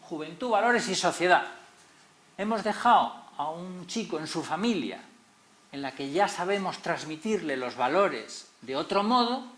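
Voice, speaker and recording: A middle-aged man with a Madrid Spanish accent giving an expressive public lecture, stressing key words as series moderator. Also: a little high, a little slow. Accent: Madrid Spanish, not very Canarian. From Tenerife, Canary Islands. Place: lecture hall. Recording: roomy echoey hall.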